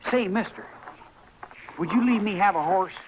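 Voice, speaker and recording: A man's voice: high-pitched, normal speed, dull audio, natural voice. A male actor playing a cocky, eager frontier boy in an old radio western, with a rustic American accent. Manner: rustic boyish bragging. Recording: noisy old radio recording.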